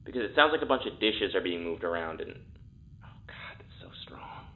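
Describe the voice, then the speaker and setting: An actor playing an uneasy male test subject in an audio drama, speaking haltingly and repeating words as he struggles to explain. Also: low voice, expressive, slight background noise. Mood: distracted, disturbed. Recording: thin, phone-like intercom sound.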